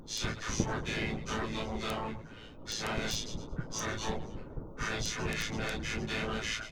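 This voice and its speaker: A man playing a cold, officious robot communications officer in an audio drama, speaking in flat, clipped, formal phrases. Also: on the low side, measured pace, very noisy, lightly acted. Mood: cold, detached. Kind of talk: ASMR roleplay audio drama.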